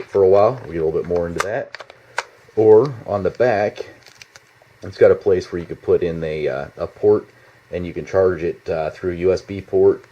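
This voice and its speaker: A man giving a casual, unscripted product demonstration in a halting, rambling, informal way, recorded indoors in a home basement. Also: low-pitched, dark tone, slight background noise. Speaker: product reviewer. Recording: slightly dull, lo-fi home video sound.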